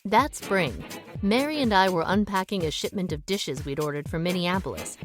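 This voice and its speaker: A woman's voice: on the low side, expressive, normal speed, natural voice. Woman playing a shrewd, world-weary lady sleuth, music behind. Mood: reflective, wry. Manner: knowing, matter-of-fact, wry. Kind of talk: audio drama dialogue and first-person narration.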